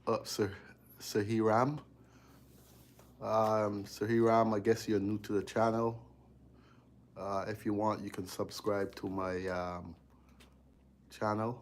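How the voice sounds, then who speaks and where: A man's voice: on the low side, slow pace, long pauses, slight background noise. A man with a Barbadian accent chatting casually on a live stream while he works in a cold basement electrical room, trailing off with fillers as he concentrates. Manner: relaxed, drifting off mid-sentence with fillers while busy. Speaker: electrician livestreaming his work.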